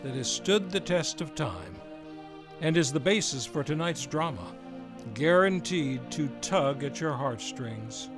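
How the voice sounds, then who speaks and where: A man's voice: fairly high pitch, animated, measured pace, music in the background. A man hosting an old-time radio show, reading a scripted introduction in a grandiose, theatrical showman's manner. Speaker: radio show announcer and host.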